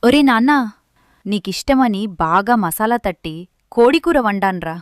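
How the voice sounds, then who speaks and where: A woman's voice: mid-range voice, animated, normal speed, no echo, studio quality, lightly acted. A woman voicing a remorseful, doting mother fresh from prison in a melodramatic moral story, speaking earnestly and with emotion. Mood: affectionate. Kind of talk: melodramatic Telugu moral-story cartoon voice acting.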